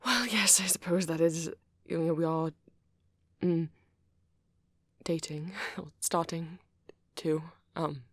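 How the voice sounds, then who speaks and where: A woman voicing a shy, awkward young vampire boyfriend in an ASMR roleplay, hesitant and rambling, with a soft, breathy delivery. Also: low-pitched, steady tone, no room sound. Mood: bashful, touched. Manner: hesitant, halting, rambling, nervous.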